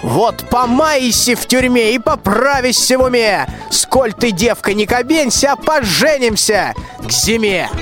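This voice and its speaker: A very high-pitched, pompous tsar, acted by a man holds forth in rhymed folk speech. He is bossy and wheedling, with swooping, unhurried delivery in a radio fairy tale. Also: sing-song, a bit bright, soft background music, full character voice. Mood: angry commanding. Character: blustering lovestruck old tsar, bossy and wheedling. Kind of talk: rhymed folk-tale radio play, amateur school production.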